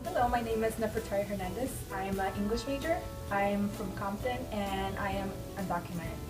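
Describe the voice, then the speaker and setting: A woman's voice: medium pitch, brisk. Young woman from Mexico, roomy distant sound. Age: young adult. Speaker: college student. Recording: roomy, distant mic, faint music.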